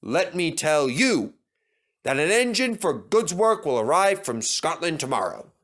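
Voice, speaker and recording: A man's voice: on the high side, very animated, a bit bright, pitched above the performer's own voice, heavily acted. A man voicing a stern, authoritative railway controller in a children's story, speaking in a commanding way. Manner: commanding, deliberate.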